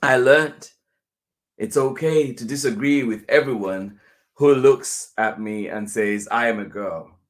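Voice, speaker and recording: Slow-paced man reading a picture book aloud. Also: medium pitch. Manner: deliberate, with pauses between phrases.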